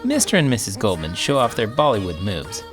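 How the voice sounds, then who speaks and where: Expressive low-voiced American man reading stories. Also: a little fast. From Iowa, United States. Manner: animated, theatrical. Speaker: children's book read-aloud storyteller and teacher. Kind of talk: expressive children's storybook narration with commentary. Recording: music playing behind the voice.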